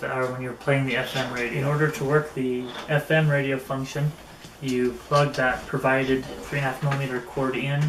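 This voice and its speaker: Guy doing a casual gear review, kinda halting, pauses mid-sentence, roomy echo and a bit of noise. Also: medium pitch. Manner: halting, pausing mid-phrase. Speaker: product reviewer. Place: indoors in an echoey room.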